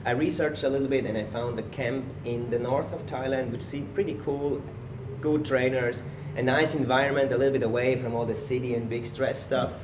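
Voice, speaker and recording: A man from Switzerland, a personal trainer telling his story as a guest in a relaxed interview recorded at a gym. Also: mid-range voice, brisk, hardly any pauses, echoey room, far from the mic, background noise. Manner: relaxed storytelling, slightly non-native phrasing. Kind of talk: casual podcast interview.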